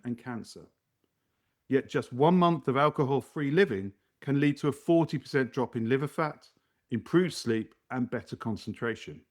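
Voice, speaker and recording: A man hosting a podcast, reading a scripted introduction and then asking his guest questions. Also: mid-range voice. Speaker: podcast host.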